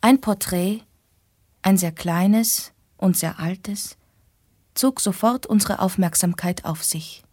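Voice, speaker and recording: An actress playing an affectionate young daughter in a radio drama, speaking with a soft, breathy voice. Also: female voice, medium pitch, takes it slowly, no room sound, clean studio sound. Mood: reflective narrating. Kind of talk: scripted German radio drama acting and narration.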